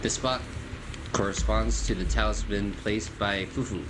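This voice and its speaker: A man's voice: fairly low pitch, steady tone, measured pace, noisy background. A man livestreaming a game, reading its story text aloud and adding short commentary. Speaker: game streamer.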